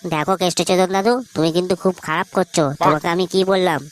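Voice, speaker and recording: A woman's voice: on the low side, fairly even intonation, fast, dry recording, lightly acted. A woman voicing a pushy, foul-mouthed young lad who wheedles as a sidekick in a comedy cartoon. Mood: pleading. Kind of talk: Bengali comedy cartoon voice acting. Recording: noisy audio with clicks.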